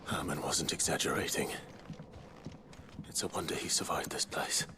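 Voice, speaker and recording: A grim but compassionate male adventurer from a video game. His voice is deep and hushed, often nearly whispered, with short, brooding phrases. Clear ambient noise surrounds it. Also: moderate pace. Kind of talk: dramatic video game acting.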